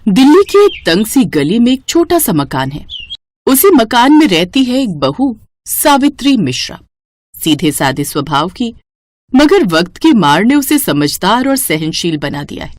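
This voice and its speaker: A woman's voice: mid-range voice, sing-song, unhurried, a little mellow. A woman storyteller giving scripted narration of a moral tale.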